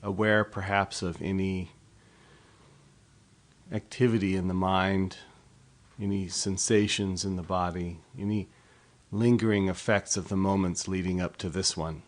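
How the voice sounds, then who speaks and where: A man leading a guided body scan meditation in a slow, soft, unhurried way. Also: deep voice, fairly even intonation.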